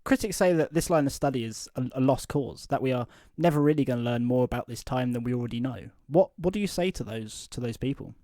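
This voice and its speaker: A man playing a polite podcast host in a fiction interview, measured and a little halting. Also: mid-range voice, mellow, dry recording, studio quality, close to an everyday voice. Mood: questioning.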